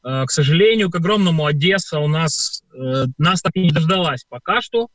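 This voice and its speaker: A man from Ukraine talks through his answers in a radio interview, pausing often on filler sounds as he tells his stories. His voice sits fairly high for a man. The recording is dry, with no room sound. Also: animated, fairly bright tone, no room sound. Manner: hesitant, many filler sounds, storytelling. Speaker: performer and guest.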